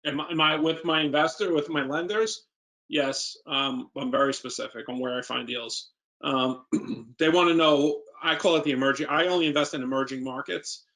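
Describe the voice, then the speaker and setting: A man's voice: a little high, normal speed, sharp tone. A man with a New York accent, an investor speaking informally as a guest and answering questions in an emphatic, plain-spoken way.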